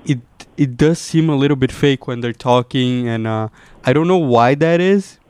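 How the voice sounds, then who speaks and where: A man's voice: medium pitch, moderate pace, a little mellow, smooth, dry recording. A man from Brazil, chatting casually with lots of fillers. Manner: casual, filler-heavy with many "like"s. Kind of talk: casual discussion.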